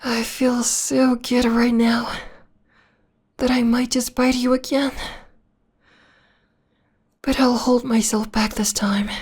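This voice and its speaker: A woman playing a possessive, obsessed vampire lover in an ASMR roleplay, speaking softly and coaxingly, often in a whisper. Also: fairly high pitch, slightly rough. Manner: soft, slow, coaxing. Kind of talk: ASMR roleplay, intimate and slow.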